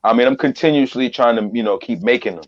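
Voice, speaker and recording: A man's voice: mid-range voice, quick pace. Man, podcast guest, voiceover artist, earnest, repeats himself for emphasis, muffled lo-fi audio. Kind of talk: casual interview talk.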